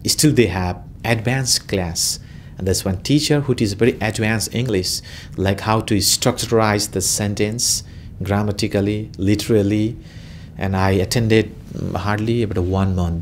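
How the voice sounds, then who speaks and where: A man's voice: fairly low pitch, fairly even intonation, moderate pace, a little hiss or hum. A man in his thirties with a Nepali accent, answering interview questions in a hesitant way, with many fillers and restarts.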